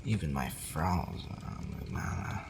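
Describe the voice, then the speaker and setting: A man playing an imperious but secretly softening male vampire in an ASMR roleplay, drawling theatrically with murmured asides. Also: extremely low pitch, lively intonation, a little slow, noisy background, heavily acted. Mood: brooding, conflicted.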